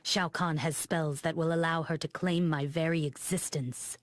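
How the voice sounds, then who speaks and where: A woman's voice: low-pitched, fairly even intonation, normal speed, no echo, studio quality, natural voice. A woman voicing a proud, scornful warrior princess. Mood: urgent. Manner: sharp, scornful. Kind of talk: video game cutscene acting.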